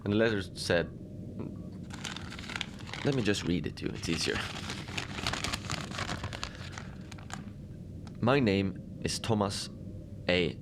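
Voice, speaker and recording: A man playing a chatty, wry manager of an Antarctic research facility in an audio drama, talking in a rambling, deadpan way with hesitant asides. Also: low voice, measured pace. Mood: puzzled, deadpan. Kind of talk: conversational monologue, log-style audio drama. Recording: noisy background ambience.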